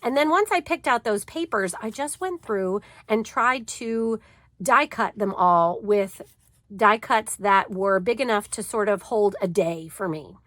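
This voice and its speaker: A woman's voice: mid-range voice, expressive, unhurried, a bit bright, dry recording. A woman with a stuffy cold voice hosting a crafting channel, chatting in an easygoing way as she shows a planner layout. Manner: chatty and easygoing, with frequent fillers. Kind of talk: casual crafting tutorial chat.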